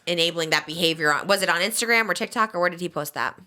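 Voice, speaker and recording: Female podcast host, quick and forceful, slightly low pitch, casual gossipy chat with incredulous questions.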